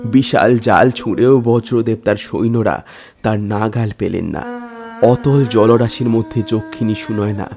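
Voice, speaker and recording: A man reading a dramatic story as a narrator, with measured, literary delivery. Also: lowish voice, crowd noise. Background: music.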